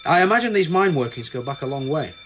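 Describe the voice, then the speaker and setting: An actor playing a calm, persistent police inspector who questions with measured, probing lines in a radio play. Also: male voice, on the high side, faint music behind. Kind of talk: radio drama acting.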